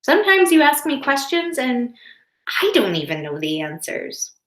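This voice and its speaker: A woman reading the part of a kind, reassuring storybook mother who speaks tenderly and deliberately to her child. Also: sits high, huge pitch swings, a bit bright, pitched above the performer's own voice, exaggerated voice. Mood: reassuring, loving. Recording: roomy, echoing indoor sound.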